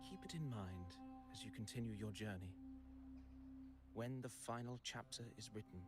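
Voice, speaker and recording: An actor playing a calm, gentle seer in a video game, speaking solemnly of fate. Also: male voice, fairly low pitch, moderate pace, music in the background, close to an everyday voice. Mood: calm, mysterious. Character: cryptic male seer, calm, gently fateful. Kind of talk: scripted game dialogue.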